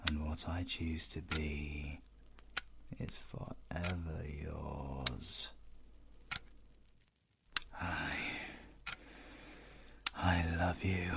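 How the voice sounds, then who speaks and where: Through heavy background noise comes a man acting a brooding British werewolf villain in an audio roleplay. His deep voice is gravelly and halting. He sounds like a grieving, devoted lover pouring his feelings out. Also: exaggerated voice. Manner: slow, halting, emotional delivery.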